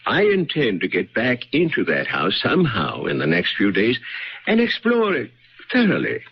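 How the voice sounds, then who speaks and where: A muffled radio drama recording. a cautious witchcraft professor with a deep, husky voice. His delivery is formal and deliberate, with dramatic weight. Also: wildly swooping pitch, full character voice. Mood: grave warning. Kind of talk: radio mystery drama acting.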